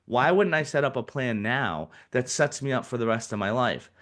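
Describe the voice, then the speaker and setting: A man in his forties, a financial advisor being interviewed on a podcast, who talks in a lively way and acts out what other people might say. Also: medium pitch, normal speed. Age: 40s. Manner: animated, acts out quoted people in put-on voices.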